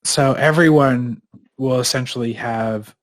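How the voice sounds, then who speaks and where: A man with a stuffed-up nose talks loosely as a podcast co-host, circling his point with fillers and restarts. His voice sits at an ordinary middle pitch for a man. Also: unhurried. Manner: rambling, many fillers and restarts. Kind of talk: casual chat.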